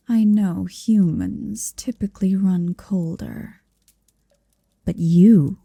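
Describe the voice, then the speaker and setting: A woman playing an ancient, haughty, possessive female dragon in human shape, in an intimate ASMR roleplay, breathy and often whispering, with a commanding, teasing manner. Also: mid-range voice, warm tone, dry recording, clean studio sound, full character voice. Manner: slow, languid, commanding, teasing.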